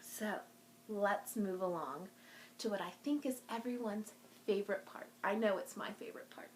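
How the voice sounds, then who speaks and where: A woman's voice: medium pitch, moderate pace, a little hiss or hum. A woman speaking to young children as a storytime host, in a sing-song, encouraging way, showing and explaining things. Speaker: children's librarian hosting a virtual storytime. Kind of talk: talking to young children in a craft and show-and-tell video.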